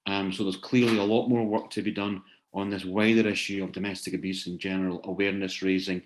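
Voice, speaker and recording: A man's voice: low voice, little pitch movement, quick pace, slight background noise. A man hosting an online seminar informally, hesitant and often repeating words as he searches for his phrasing. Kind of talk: informal spoken closing remarks to attendees. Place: online video session.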